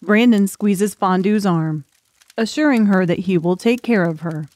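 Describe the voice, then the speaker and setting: A woman narrates a story for an audiobook at a medium pitch. The reading is scripted, and the recording is dry with no room sound. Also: a little slow, a little mellow, no echo.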